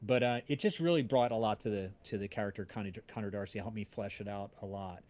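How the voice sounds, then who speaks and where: A man hosting a casual livestream chat, talking in a halting way as he searches for words. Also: fairly low pitch, a little mellow. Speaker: host of a cast and crew livestream, audio drama writer.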